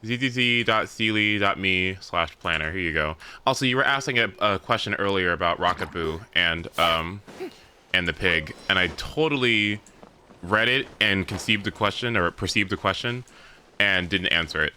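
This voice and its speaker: Deep, bright-voiced man streaming a game. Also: normal speed, a little hiss or hum. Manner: rambling, thinking aloud, swearing freely. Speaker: livestreamer. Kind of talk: casual gaming stream chat. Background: game music.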